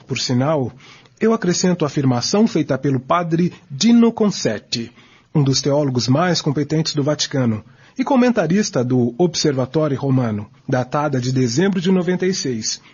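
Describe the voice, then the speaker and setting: A man acting as an emphatic Brazilian commentator in a radio drama about spiritist history. His voice is strongly raspy, with big swings of intonation. The sound is somewhat dull. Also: a little high, sing-song, normal speed, darkish tone. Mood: earnest, explanatory. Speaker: radio drama narrator and discussant. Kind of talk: scripted radio drama narration in dialogue form. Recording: dull, lo-fi audio.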